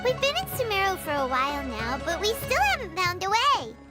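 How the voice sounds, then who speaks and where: A woman voicing a small, childlike, curious fairy companion in a video game, with a squeaky, bubbly, inquisitive delivery. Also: sing-song, a little fast. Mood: earnest. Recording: loud background music.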